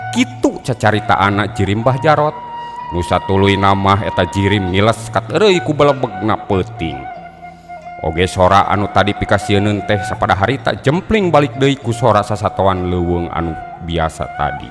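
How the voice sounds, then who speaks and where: A man telling a traditional folk tale in a measured, dramatic way, with folk music playing behind. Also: on the low side, sing-song. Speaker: storyteller. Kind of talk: traditional storytelling narration.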